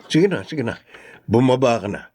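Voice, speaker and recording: A middle-aged dad and husband, gets defensive and curt, mid-pitched voice. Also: male voice, expressive, normal speed, darkish tone, husky. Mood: warm. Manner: teasing, then curt and defensive. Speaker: father. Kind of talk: TV drama acting.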